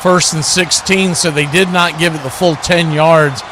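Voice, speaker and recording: A man's voice: a little high, fairly even intonation, measured pace, noisy background. A man giving live American football play-by-play in clipped running description that lifts on the action. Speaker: play-by-play sports commentator, watch party host.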